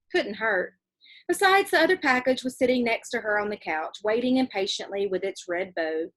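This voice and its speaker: A woman reading a children's story aloud like a teacher, expressively, pausing to explain and check in with her young listeners. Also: a little high, breathy edge.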